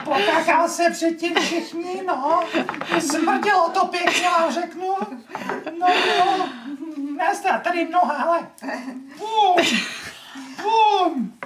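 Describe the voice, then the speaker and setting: A woman voicing a cheeky, chattering little boy marionette in an improvised, playful puppet show for a small child. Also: very high voice. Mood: cheeky, protesting. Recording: echoey room, noisy amateur home recording.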